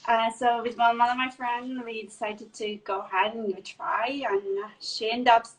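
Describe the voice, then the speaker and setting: A woman's voice: fairly high pitch, steady tone, moderate pace, low-quality recording. A woman in her thirties from Turkey, for whom Turkish is the first language, answering interview questions in a casual, hesitant way with many fillers and restarts. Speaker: guest dancer.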